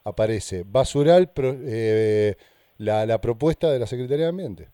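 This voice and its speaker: Pressing Argentine radio journalist, dark-voiced. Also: male voice, medium pitch, lively intonation, moderate pace, clean tone, no room sound, studio quality. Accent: Argentine Spanish. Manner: pressing, repeats pointed questions. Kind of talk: confrontational interview.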